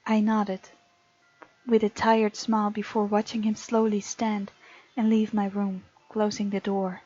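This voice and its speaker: A woman's voice: mid-range voice, completely flat delivery, unhurried, dark tone. A woman hosting a game playthrough, reading visual novel narration aloud and adding her own commentary. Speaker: game let's-play host. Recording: dull sound, music behind. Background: game music.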